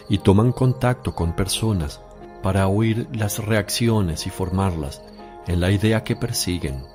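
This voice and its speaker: An actor playing a calm, authoritative holy teacher with a solemn, measured delivery in a religious radio drama. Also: male voice, on the low side. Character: Jesus, calm authoritative teacher addressing disciples.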